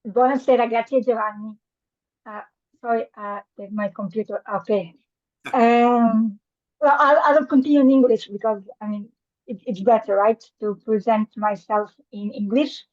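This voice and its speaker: A woman's voice: a little high, fairly even intonation, moderate pace, a little mellow, no room sound, lo-fi sound. Hesitant Serbian-accented woman on a call. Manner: hesitant, many fillers and restarts. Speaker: course coordinator. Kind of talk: online meeting presentation.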